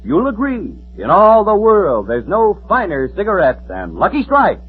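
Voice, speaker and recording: A man's voice: high-pitched, huge pitch swings, normal speed, over loud music. A man delivering an emphatic, declamatory 1940s radio commercial as an announcer. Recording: thin, tinny 1940s radio recording.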